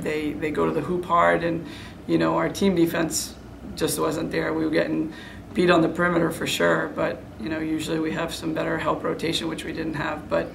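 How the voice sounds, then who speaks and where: A woman's voice: sits low, gravelly, a little hiss or hum, poor audio. A middle-aged woman, a head coach, giving frank, self-critical and serious answers in a postgame interview. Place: indoor room before a press backdrop.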